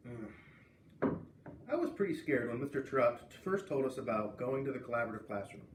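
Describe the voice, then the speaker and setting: A man's voice: medium pitch, lively intonation, fairly quick. Man reading aloud in a roomy space. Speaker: teacher reading a children's novel aloud. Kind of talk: read-aloud storytelling with casual asides. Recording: roomy, distant mic, faint background noise.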